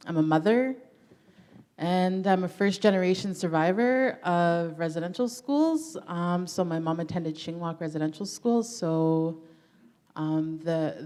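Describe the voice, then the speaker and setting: A woman from Ontario speaks on a panel in a notably low voice. She talks earnestly and personally, with the odd filler. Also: darkish tone. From Ontario, Canada. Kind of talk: panel introduction.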